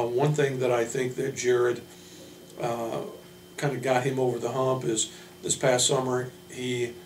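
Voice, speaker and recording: A man speaking as a basketball coach, giving informal player introductions in a measured, hesitant way with frequent pauses. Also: medium pitch, darkish tone. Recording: roomy, distant mic, faint hum.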